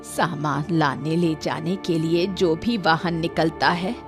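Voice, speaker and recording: A woman's voice: deep voice, steady tone, slow pace, over music. A woman voicing a plain-spoken, respectful old village woman in a cartoon story, deferential but complaining. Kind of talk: Hindi cartoon story voice acting.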